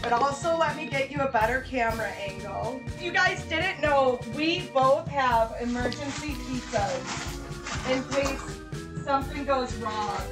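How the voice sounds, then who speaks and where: A woman's voice: sits high, measured pace. A woman in her thirties cooking live in her home kitchen, chatting casually, swearing and laughing at her own chaos. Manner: chaotic, swearing, laughing at herself. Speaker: streamer cooking along with a friend. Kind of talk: casual livestream chat. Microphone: fixed camera across the kitchen. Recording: roomy kitchen sound with music behind.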